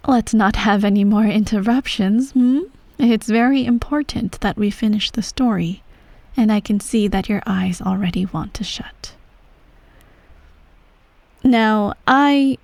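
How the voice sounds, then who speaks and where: A woman playing a ruthless, self-righteous empress mother in a scripted ASMR bedtime roleplay, speaking in a hushed, measured, regal way with soft whispery tones. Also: mid-range voice, no room sound. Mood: gently firm.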